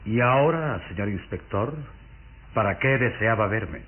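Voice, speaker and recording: A menacing vampire count in an old radio play. He speaks low and very slowly, with frosty, commanding politeness that swings expressively in pitch. The recording is muffled, with music underneath. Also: animated, husky. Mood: guarded, courteous. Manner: authoritative, slow, formal, icy politeness. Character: cold, courteous, sinister vampire count, gaunt and menacing. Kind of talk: old Latin American radio drama acting.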